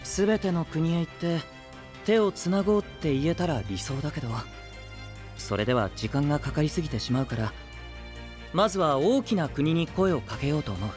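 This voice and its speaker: An actor playing a gentle, idealistic young knight who leads an alliance, speaking softly and earnestly in anime audio drama style. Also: male voice, on the high side, very animated, soft background music. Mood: earnest, resolved. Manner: soft-spoken, earnest, measured.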